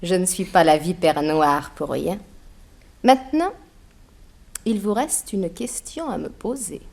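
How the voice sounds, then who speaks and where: A woman's voice: on the low side, unhurried, creaky, darkish tone. An actress playing a cruel, gloating female master criminal in an old radio crime serial, mocking and savouring her threats. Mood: smug gloating.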